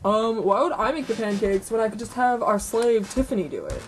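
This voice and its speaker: A woman's voice: on the high side, sing-song, fairly quick, slight background noise. A woman voicing a curt, bossy father doll who plays favorites in a homemade comic story. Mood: offhand.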